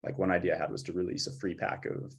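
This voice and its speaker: Deep raspy guy chatting casually, sort of thinking out loud, bit tentative. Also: flat delivery, fast. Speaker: composer. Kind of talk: informal chat about own work.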